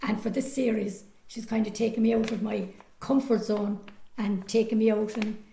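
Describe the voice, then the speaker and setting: A woman with a Cork accent chats in an interview. Her voice is creaky and very mellow, and she affirms things emphatically. The room has an audible echo. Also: mid-range voice, fairly even intonation, moderate pace. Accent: Irish, County Cork. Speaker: amateur radio drama actress, former radio presenter. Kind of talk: casual interview chat.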